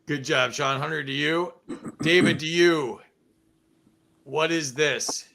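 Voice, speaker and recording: A man's voice: a little high, takes it slowly, gravelly, pushed and bright. A man hosting a casual online game show, joking, laughing often and reading out chat comments.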